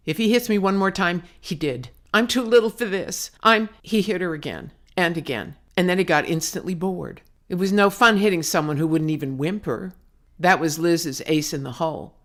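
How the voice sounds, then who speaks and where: A woman narrating her own novel as an audiobook, in a dramatic storytelling manner with slangy, clipped phrasing. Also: deep voice. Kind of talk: scripted narration, audiobook reading.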